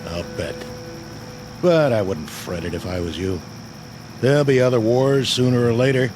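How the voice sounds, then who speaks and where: An actor playing a hard-bitten, sarcastic army sergeant who needles people, in a radio drama. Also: male voice, medium pitch, normal speed, darkish tone, a little hiss or hum, close to an everyday voice. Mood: bitter sarcasm. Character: hard-bitten, sardonic army sergeant baiting his lieutenant.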